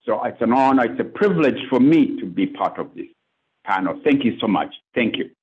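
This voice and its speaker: A man's voice: medium pitch, lively intonation, a little fast, slightly rough, narrow, tinny audio. A man from Uganda, a priest speaking on a conference panel over a video call, earnest and list-like with fillers. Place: plain indoor room, video call. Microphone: video call webcam.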